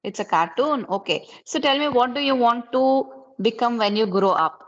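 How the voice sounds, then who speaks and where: A woman's voice: fairly high pitch, lively intonation, normal speed. Indian English woman in her 30s, patient question-asker, dull call audio. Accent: Indian English, Kannada. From Karnataka, India. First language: Kannada. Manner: patient, asks many simple questions. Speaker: English conversation host. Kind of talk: casual conversation practice.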